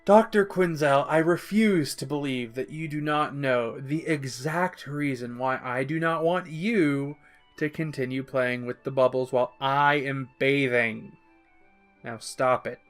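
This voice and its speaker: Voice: medium pitch, a little slow, soft background music, lightly acted. A narrator voicing an awkward, exasperated male villain doctor who scolds in formal, clipped phrases in a story reading.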